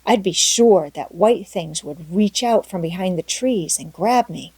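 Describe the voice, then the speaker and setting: A woman voicing an excitable, imaginative young girl with a breathless, dramatic, talkative manner in a storybook reading. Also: on the low side, unhurried, husky, no room sound. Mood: thrilled dread. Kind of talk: storybook character voice in audiobook.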